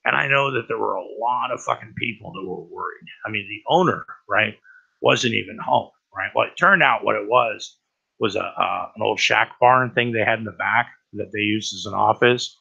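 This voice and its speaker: Raspy man with a cold, bright pushed tone, rambling profane stream host. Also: on the low side, normal speed, highs cut off. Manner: profane and rambling, lots of "right?". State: has a cold. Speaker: livestream host. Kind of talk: rambling casual talk about news.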